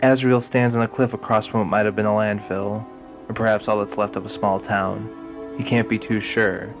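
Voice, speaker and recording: A man's voice: lowish voice, flat delivery, normal speed. A man reading scripted, dramatic narration for an audio drama. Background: music.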